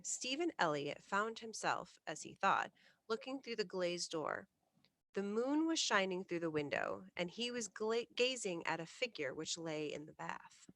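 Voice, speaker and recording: A woman reading a classic ghost story aloud as scripted bedtime narration, in a measured, literary storytelling manner. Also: medium pitch, a bit bright. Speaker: storyteller, reader of ghost stories.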